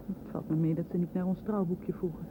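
A woman's voice: fairly low pitch, fairly even intonation, a little fast, lightly acted. An actress playing an uneasy, doubting girlfriend in a radio play, hesitant and questioning. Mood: worried. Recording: muffled old radio play, noisy background.

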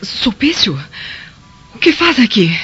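A haughty Roman noblewoman of a Brazilian radio drama. Her delivery is highly expressive and swoops around. The sound is muffled, with music underneath. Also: slow pace, heavily acted. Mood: alarmed, indignant.

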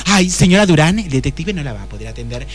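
Man putting on a high, swooping ditzy secretary. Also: sing-song, fast, faint music behind. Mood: flustered. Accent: Argentine. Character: scatterbrained, chirpy, nervous female secretary. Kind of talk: comic noir radio play acting.

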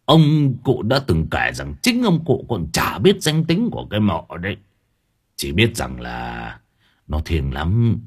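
A gruff, husky village husband speaks low and rough, cursing crudely and stumbling over hesitant fillers, as a male narrator puts him on. His voice dips well below the storyteller's own and roams widely in pitch. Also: fairly bright tone, exaggerated voice. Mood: storytelling.

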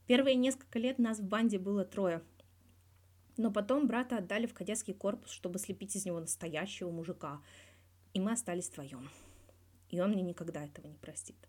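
A woman's voice: medium pitch, a little fast, a little mellow, close to an everyday voice. A woman voicing a guarded male hockey star in his thirties, talking candidly and wryly in a scripted interview from a fan fiction audio reading. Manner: candid, wry, colloquial with swearing.

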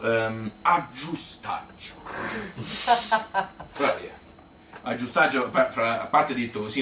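A man's voice: medium pitch, natural voice. An actor playing a sociable, wry Tuscan man telling rambling, colloquial anecdotes about his own life in a theatrical monologue. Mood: wry, bemused. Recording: roomy, distant mic with faint noise.

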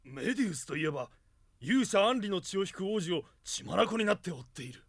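A rough henchman in a radio drama. His voice is slightly high with wide swings, wary in tone, recorded very dry. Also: big pitch swings, brisk, no room sound, full character voice. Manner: rough underling speech. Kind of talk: Japanese radio drama acting.

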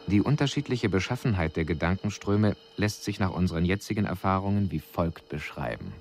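An actor playing an alien envoy who delivers a measured, formal, analytical report in a scripted radio drama. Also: male voice, sits low, a little hiss or hum. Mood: clinical, observant. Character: male alien envoy soberly reporting on a doomed planet.

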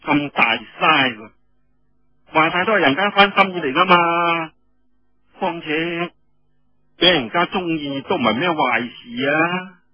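A male storyteller plays a playfully sharp young woman soldier in an audio story, jealous and needling. The delivery is animated and husky, on a muffled recording. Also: a little high, unhurried, long pauses, no echo, faint music behind, exaggerated voice. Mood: jealous, teasing. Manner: teasing, needling, colloquial.

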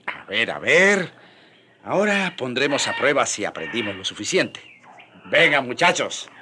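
An actor playing a warm, eager missionary friar in a radio drama, encouraging and repeating short phrases. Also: male voice, medium pitch, big pitch swings, unhurried, background noise. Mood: delighted, encouraging.

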